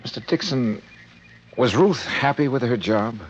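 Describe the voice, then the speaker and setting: Guy playing american 50s radio detective, clipped, probing, lively delivery, old dull hissy recording. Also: mid-range voice, measured pace, natural voice. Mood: probing, courteous. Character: Johnny Dollar, cool probing male insurance investigator, narrating. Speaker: detective lead and narrator. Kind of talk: 1950s radio detective drama acting.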